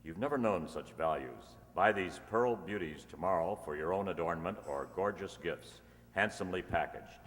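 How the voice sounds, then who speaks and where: A polished old-time radio announcer acted by a man delivers scripted copy like an eager salesman. The voice is very low and echoes through a big hall. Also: expressive, normal speed. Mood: smooth selling. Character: polished, eager male announcer and sponsor pitchman. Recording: echoey hall, long reverb.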